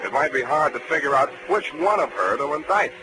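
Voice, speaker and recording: A man's voice: on the high side, very animated, brisk, music in the background. An American man acting a wry, tough, flirtatious private detective in a 1940s radio drama. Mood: wry reporting. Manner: wry, sardonic. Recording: noisy vintage 1940s radio broadcast.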